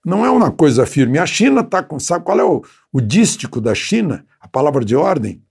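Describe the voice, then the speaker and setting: Gravelly older man, studio commentary. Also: on the high side, sing-song, moderate pace, a little mellow. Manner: conversational, many hesitations and tag questions; seated, gesturing with both hands. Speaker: political commentator. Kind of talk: unscripted spoken commentary. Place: small studio with graphic backdrop. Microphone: lapel mic.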